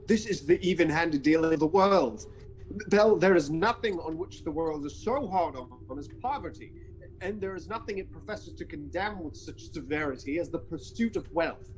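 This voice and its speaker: A man's voice: fairly high pitch, lively intonation, moderate pace, a little hiss or hum, lightly acted, poor audio. Proud, defensive young man, played by an actor. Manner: formal, defensive, earnest. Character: proud, defensive young businessman in his prime. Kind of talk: theatrical audio drama acting.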